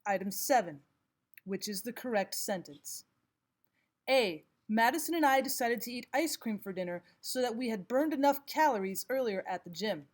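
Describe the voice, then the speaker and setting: Higher-voiced woman teaching a lesson. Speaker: English grammar teacher. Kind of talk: scripted lesson narration, reading quiz items aloud.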